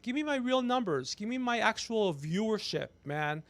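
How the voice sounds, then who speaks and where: A man's voice: high-pitched, animated, moderate pace, fairly bright tone. A man in his fifties chatting casually on a livestream as host, brash and ranting, swearing freely. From Persian background. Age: 50s. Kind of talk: casual profane livestream chat.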